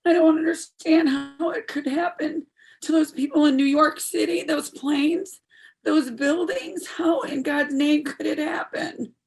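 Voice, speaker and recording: A woman's voice: high voice, fairly even intonation, unhurried, gravelly, a little mellow, poor audio. A woman playing an earnest, troubled daughter in her twenties in a stage drama, speaking in a heartfelt, pleading way. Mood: anguished. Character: earnest, troubled 26-year-old daughter, medical student. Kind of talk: stage play dialogue, audio performance.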